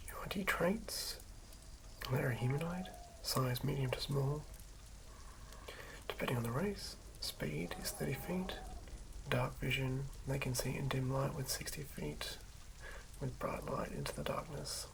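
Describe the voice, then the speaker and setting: Hushed asmr host whispering slow and halting, creaky voice, noisy lo-fi recording with little clicks. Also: medium pitch. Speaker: host of tabletop roleplaying ASMR videos. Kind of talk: whispered ASMR, reading and commentary. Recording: lo-fi whisper with clear background noise.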